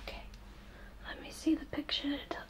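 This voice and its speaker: A young woman whispering a gentle, soothing ASMR role play, reassuring the listener up close in a small room. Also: normal speed. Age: young adult.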